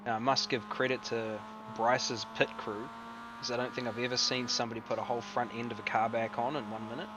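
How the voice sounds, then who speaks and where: Relaxed New Zealand race commentator, distant mic. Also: male voice, mid-range voice, a little fast. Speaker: sim racing commentator. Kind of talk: casual live race commentary. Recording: distant mic with noise and hum.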